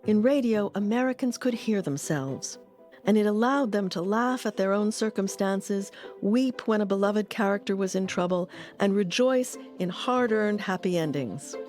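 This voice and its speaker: A woman's voice: mid-range voice, lively intonation, moderate pace, darkish tone, faint music behind. A woman delivering scripted documentary narration.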